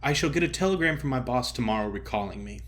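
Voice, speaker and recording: A man's voice: medium pitch, animated, fast, natural voice. A man voicing an anxious, modest young amateur sleuth, plain-spoken and worried, in an audio story. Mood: worried, baffled.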